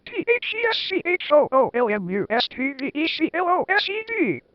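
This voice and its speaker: Man putting on a raging official, shouting, spelling out letters, high strained pitch, muffled sound. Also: expressive, slow, no room sound. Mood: furious. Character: blustering male official bellowing in fury.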